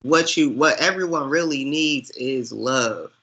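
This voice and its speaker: A man with a bright, forward voice at a middling pitch speaks as a guest in a casual chat. He goes slowly and haltingly, reflecting aloud in winding thoughts. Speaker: guest, online movie reviewer.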